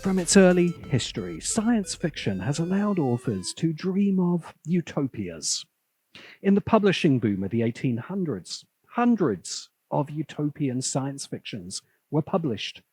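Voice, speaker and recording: Male video essayist, highly animated delivery, measured pace with dramatic pauses, sardonic edge. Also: breathy edge. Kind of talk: scripted video essay narration.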